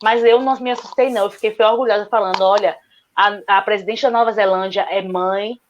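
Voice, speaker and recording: A woman's voice: medium pitch, fairly even intonation, fast, slight background noise. A woman with a Bahian accent answers a panel question with earnest conviction, circling back to her main point. Her voice sits in a middle range. The sound is dull and lo-fi, like audio from an online call. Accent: Bahian Portuguese. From Salvador, Bahia, Brazil. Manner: earnest, argumentative, repeats "justamente". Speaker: guest speaker, lawyer.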